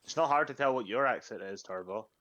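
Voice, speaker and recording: A man's voice: mid-range voice, expressive, quick pace, fairly bright tone, muffled recording, a little hiss or hum. A British man chatting casually while playing a game with friends, lightly mocking them. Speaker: guest player. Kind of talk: casual gaming chat.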